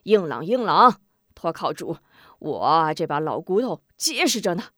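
A woman voicing a stern but kindly old grandmother, a martial elder in a told story, speaking in a grave, measured way. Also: on the low side, big pitch swings, a bit bright, no echo, clean studio sound, full character voice. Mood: cheerful. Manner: grave, measured scolding with chuckles.

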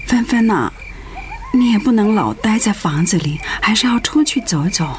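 An actress playing a frail elderly mother in a radio drama, breathy and whispery, fretful and fondly nagging. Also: medium pitch, animated, normal speed, natural voice. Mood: drowsy, fondly nagging. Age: older. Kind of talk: Mandarin radio drama acting. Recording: faint noise, night crickets and frogs behind.

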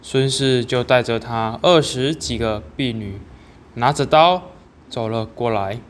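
Slow-paced teenage male story narrator. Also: mid-range voice, slight background noise. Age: teens.

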